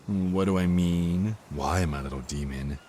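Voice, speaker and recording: An actor playing a cruel, contemptuous Archangel of Death in an audio drama, with a mocking, theatrical delivery. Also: male voice, low voice, a little slow, mellow, slight background noise. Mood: mocking, gloating. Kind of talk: dramatic villain monologue in audio roleplay.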